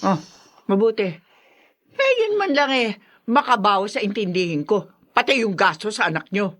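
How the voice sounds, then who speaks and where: Sharp, nagging Filipino mother-in-law. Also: mid-range voice, lively intonation, moderate pace. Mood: demanding. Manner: nagging, scolding, bossy. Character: stern, grudging mother-in-law demanding household money. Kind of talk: radio drama acting.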